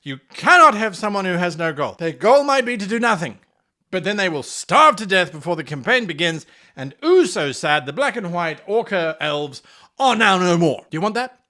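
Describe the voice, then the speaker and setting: A man's voice: sits high, very animated, normal speed, bright, forceful tone. A man presenting tabletop role-playing game advice in a theatrical, playful manner with sarcastic asides. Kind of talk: animated explainer on tabletop role-playing games.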